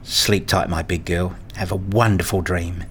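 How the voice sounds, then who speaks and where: A man's voice: deep voice, steady tone, unhurried, fairly bright tone, slightly rough, heavily acted. A man playing a weary, hurt father who narrates his own story in a radio play. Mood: tender, tired. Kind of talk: first-person radio play narration and acting.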